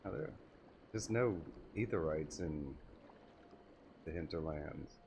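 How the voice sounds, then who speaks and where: A man's voice: a bass voice, lively intonation, takes it slowly, gravelly, darkish tone, muffled recording, slight background noise. A man giving casual live commentary as he plays a game on stream. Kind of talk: casual live gameplay commentary, reading dialogue aloud. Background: faint music.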